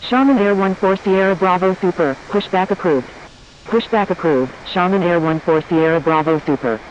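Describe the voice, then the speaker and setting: High-pitched man on noisy aviation radio calls. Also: normal speed, highs cut off. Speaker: air traffic radio operator. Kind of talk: scripted air traffic radio phraseology.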